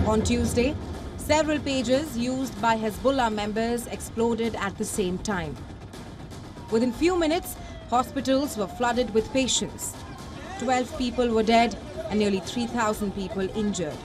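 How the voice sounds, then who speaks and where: A woman with a high voice narrates a scripted news report. Her delivery is formal and measured, and music plays clearly behind her. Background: music bed.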